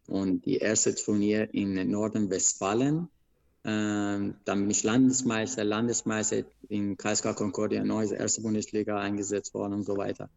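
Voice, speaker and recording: A man from Iran with an Iranian accent, a wrestler recounting his career in an interview, speaking in halting, broken phrases with many restarts. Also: on the low side, level tone, vocal fry, darkish tone, highs cut off. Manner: broken grammar, frequent restarts and hesitations. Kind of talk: interview, telling his life story.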